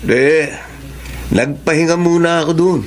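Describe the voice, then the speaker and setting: A man's voice: a little high, unhurried, a little hiss or hum, natural voice. A man acting a shady, cocky criminal friend who coaxes in casual street slang, in a Filipino drama. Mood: breezy, coaxing. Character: brash, shady male criminal friend, hired killer. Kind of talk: Filipino melodrama audio acting.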